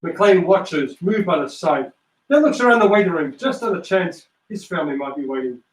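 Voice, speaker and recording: A man's voice: on the high side, animated, normal speed. A man narrating a script aloud, reading out stage directions. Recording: echoey room, distant mic.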